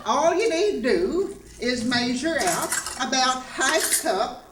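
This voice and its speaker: Give this voice to a folksy old grandma played by a stage comedian in a variety show sketch. She talks slowly and hesitantly, wandering through her kitchen demonstration. The room is echoey and there is heavy noise around her. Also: sits high, sing-song, heavily acted. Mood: folksy, cheerful. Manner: rambling, hesitant, folksy, cackling. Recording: roomy stage sound with loud noise.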